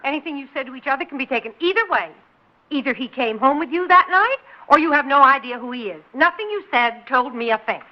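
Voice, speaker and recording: An actress playing a sharp-tongued, suspicious suburban wife in an old radio drama, speaking in a tart, indignant way. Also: female voice, sits high, lively intonation, fast talker. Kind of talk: 1950s radio drama acting. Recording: dull old radio recording.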